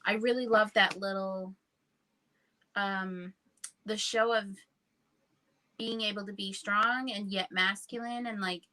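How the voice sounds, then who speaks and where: A woman's voice: mid-range voice, steady tone, takes it slowly, pauses often, sharp tone. A woman chatting casually about books on a livestream, informal and earnest in manner. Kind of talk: casual book chat.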